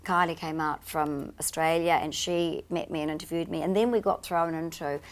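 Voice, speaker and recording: Casting: woman, talk-show guest, a little low-voiced, confident and chatty. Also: steady tone, normal speed. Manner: confident, chatty, laughs at her own remarks. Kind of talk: casual chat interview.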